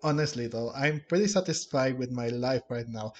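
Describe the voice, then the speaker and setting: Higher-pitched man doing casual game commentary. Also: normal speed, lo-fi sound. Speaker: game streamer. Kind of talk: casual gameplay commentary and reading aloud. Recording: game music faintly behind.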